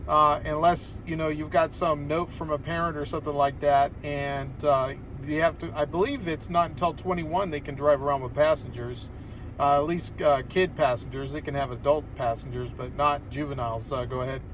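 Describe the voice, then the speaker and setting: American male radio hobbyist, slightly high voice, casual chat from a car, picked up at a distance. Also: fairly even intonation, moderate pace, fairly bright tone. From Southern California, United States. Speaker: amateur radio operator. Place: inside a car. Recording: distant mic in car, faint noise.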